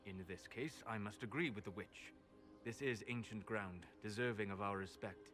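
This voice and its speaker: Guy playing a scholarly elf, calm n reverent, kinda low voice, formal game dialogue, music clearly behind him. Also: moderate pace, natural voice. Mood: solemn, reverent. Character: solemn, scholarly male elf, reverent of ancient things. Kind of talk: dramatic fantasy game acting.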